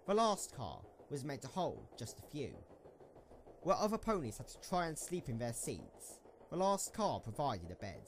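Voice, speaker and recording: A man's voice: medium pitch, wildly swooping pitch, normal speed. A man narrating a scripted story as part of an amateur dramatic reading. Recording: slightly noisy amateur microphone recording.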